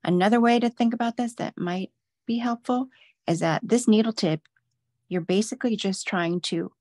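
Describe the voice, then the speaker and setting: A woman's voice: lowish voice, dry recording, studio quality. A woman patiently walking viewers step by step through knitting stitches in a live online demo. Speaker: knitting instructor.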